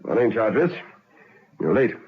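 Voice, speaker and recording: A man's voice: fairly low pitch, very animated, moderate pace, husky, exaggerated voice. An actor playing a stern but conscience-troubled prison governor, a brusque military man, in old radio drama style. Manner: brusque, commanding. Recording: dull old radio recording.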